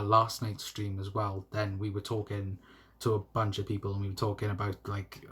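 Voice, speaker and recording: A man's voice: on the low side, husky. A young man with a South Welsh accent, chatting casually on a football live stream from his phone, rambling with many restarts. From South Wales. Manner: rambling, halting with restarts and laughs. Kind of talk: casual live stream chat reading comments. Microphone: phone mic.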